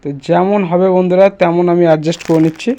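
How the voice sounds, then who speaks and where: A man's voice: high voice, flat delivery, quick pace, dark tone. A man presenting a casual step-by-step screen tutorial, instructing and often checking that the listener follows.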